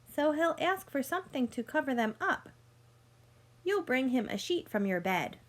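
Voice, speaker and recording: Woman, higher-pitched voice, teacher, picture-book read-aloud, deliberate, explanatory, addressing young children. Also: sing-song.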